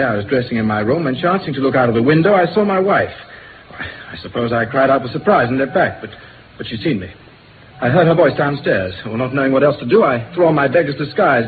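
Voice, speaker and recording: A male actor plays an English gentleman with a secret, earnest and hesitant as he confesses. He talks quickly, in 1950s radio drama style. The old recording is thin and clearly noisy. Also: mid-range voice, phone-quality sound, lightly acted. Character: anguished respectable gentleman confessing a secret double life.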